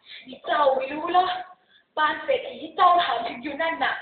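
Woman playing a loud, blunt townsman. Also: high voice, a little fast, pitched above the performer's own voice, close to an everyday voice. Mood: grave urgency. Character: blunt townsman traveller bearing terrible news. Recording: distant, phone-like, echoey hall with noise.

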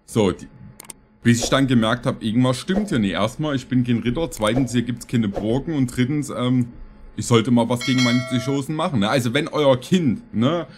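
A man's voice: medium pitch, a little hiss or hum. A man in his thirties giving casual, tongue-in-cheek reaction commentary as a streamer, rambling as he jokes. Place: indoor streaming room.

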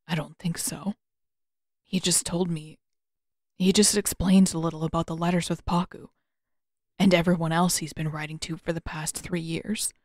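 A woman's voice: lowish voice, level tone, moderate pace, darkish tone, dry recording, natural voice. A woman voicing a worried, bewildered teenage prince with hesitant questions in an audiobook.

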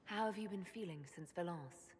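An actress playing a supportive, composed young woman leader in a video game, calm and direct. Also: fairly low pitch, normal speed, slightly rough. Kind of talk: video game cutscene acting. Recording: music behind the voice.

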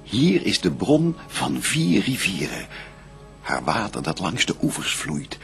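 Devout first man and adoring bridegroom, low gravelly voice, lyrical sweeping declamation, solemn verse radio play. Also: wildly swooping pitch, slow, faint music behind, full character voice. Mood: reverent.

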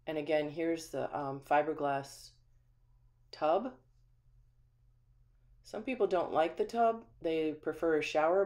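A woman's voice: deep voice, a little mellow. A woman managing a manufactured home community, giving a friendly, chatty walkthrough of a renovated house with frequent ums. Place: empty small house, indoors, then voice-over.

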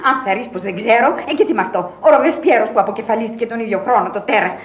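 A woman's voice: a little high, lightly acted. An actress playing a batty, pompous older lady who lectures like a judge in a radio play. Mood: pedantic, emphatic. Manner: pedantic, emphatic, theatrical. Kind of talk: radio theatre acting. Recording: dull, lo-fi archival radio recording.

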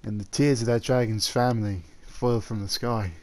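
A man playing a male dragon in human form, an eager, possessive suitor talking softly and haltingly to the listener in a roleplay audio drama. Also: fairly low pitch, steady tone, close to an everyday voice. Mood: eager, fond.

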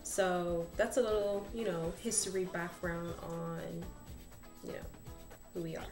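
A woman's voice: fairly low pitch, fairly even intonation, a little slow. A young woman chatting animatedly as she explains things to her camera in a small room. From Bahamas. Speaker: vlogger. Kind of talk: casual explainer vlog. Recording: echoey room with faint background music.

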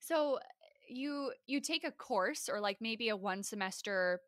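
A woman's voice: on the high side, lively intonation, unhurried, a bit bright, no room sound, studio quality. A woman from the American Midwest hosting a podcast, talking casually with lots of fillers. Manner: chatty, many "like" fillers. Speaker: podcast host. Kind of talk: casual interview chat.

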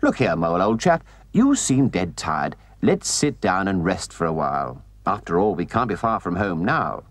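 A man voicing a cheerful, kindly male rat in a storybook, hearty and chummy with a brisk, reassuring way of talking. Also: low voice, very animated, no room sound, voice pushed down. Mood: kindly, gentle. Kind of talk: storybook character reading.

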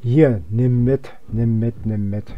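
A man's voice: mid-range voice, fairly even intonation, slow pace, dry recording, lo-fi sound. A young man giving casual gameplay commentary, rambling and thinking aloud as he plays. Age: young adult. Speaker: let's player.

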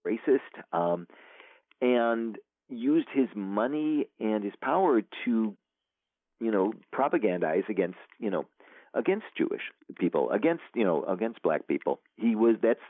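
A man's voice: mid-range voice, no echo. A man, an author being interviewed, talking casually and rambling with many fillers and restarts. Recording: tinny phone line.

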